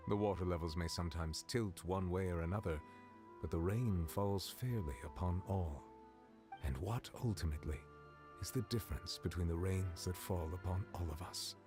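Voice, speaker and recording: Man playing a deep-voiced, solemn courtly judge. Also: lightly acted. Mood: reflective. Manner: formal, measured, solemn. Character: grave, courtly male judge, composed and philosophical. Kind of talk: video game voice acting. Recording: clear game music behind the voice.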